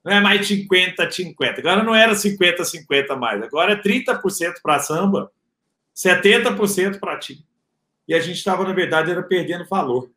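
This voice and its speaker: A man's voice: high-pitched, brisk. Brazilian man with a Rio accent. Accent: Rio de Janeiro. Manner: relaxed slangy talk with filler words. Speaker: entrepreneur guest. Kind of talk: casual interview storytelling. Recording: echoey room.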